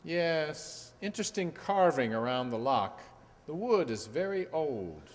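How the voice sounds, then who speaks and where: Radio mystery sleuth played by a man: urbane, assured, inquisitive, animated melody, long reverb. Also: on the high side, unhurried. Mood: methodical. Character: shrewd, composed gentleman detective. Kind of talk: radio play acting. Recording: echoey hall reverb.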